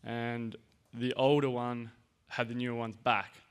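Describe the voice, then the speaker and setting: A man sharing a personal insight at a seminar, halting with many ums and restarts. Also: mid-range voice, flat delivery, smooth. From Australia. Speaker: seminar participant.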